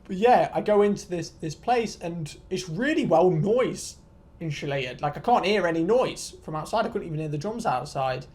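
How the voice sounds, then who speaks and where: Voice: medium pitch, lively intonation, normal speed, darkish tone. A vlogger in their twenties, telling stories in a casual, rambling, self-deprecating and sweary rant to viewers. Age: 20s.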